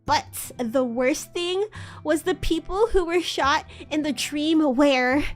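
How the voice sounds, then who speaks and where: A woman's voice: high-pitched, slow. A woman voicing a melodramatic, gloomy teenage goth girl narrating her own story in a self-serious dramatic reading. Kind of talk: dramatic fan fiction reading.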